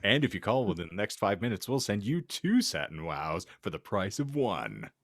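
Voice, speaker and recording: Deep-voiced man reading aloud theatrically. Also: big pitch swings, normal speed, no echo. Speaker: podcast host. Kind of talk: comedic dramatic reading of fan fiction with banter.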